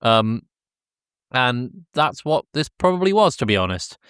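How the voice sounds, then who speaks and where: A man's voice: mid-range voice, very animated, measured pace, fairly bright tone, smooth, no echo, clean studio sound. A man in his thirties co-hosting a podcast, giving casual, opinionated talk about film. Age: 30s. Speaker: podcast co-host.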